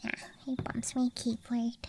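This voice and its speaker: A woman whispering softly and breathily in an ASMR style, murmuring gently as if to herself, close to the microphone. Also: a little high, monotone, measured pace, background noise. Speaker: ASMR streamer playing a dice goblin persona. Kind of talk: ASMR, soft whispering to the listener.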